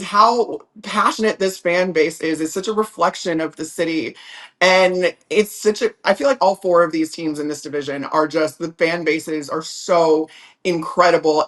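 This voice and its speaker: A woman hosting a sports show, speaking in an earnest, effusive conversational style as she interviews a guest. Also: low voice.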